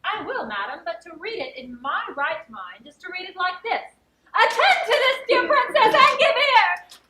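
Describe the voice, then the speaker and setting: A woman's voice: very high voice, very animated, moderate pace, pushed and bright, heavily acted. A woman playing a cheeky, mock-solemn jester serving a noblewoman in a theatrical stage comedy. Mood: mock-grand. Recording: roomy, echoing stage sound.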